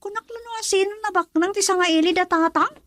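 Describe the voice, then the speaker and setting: A woman's voice: very high voice, brisk, fairly bright tone, no echo. A woman acting a bubbly, affectionate young daughter in a radio drama, with a Filipino accent. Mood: excited, teasing.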